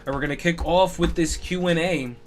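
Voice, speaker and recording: A man's voice: a little high, fairly quick, soft background music. A young man hosting a live stream, talking in a casual, chatty way with lots of "you know" fillers. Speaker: streamer and podcast host.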